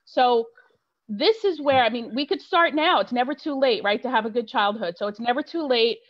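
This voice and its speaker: A woman's voice: a little high, lively intonation, moderate pace, lo-fi sound. A woman clergy member speaking passionately and emphatically in a panel discussion.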